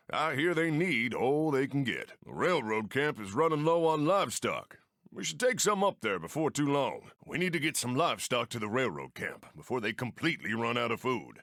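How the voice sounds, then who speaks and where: An actor playing a friendly Old West steam train engineer in a video game, with an American accent and folksy frontier phrasing. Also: male voice, mid-range voice, fairly bright tone, dry recording, studio quality. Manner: folksy, colloquial drawl of the frontier. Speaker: mission-giver game character. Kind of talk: animated video game voice acting.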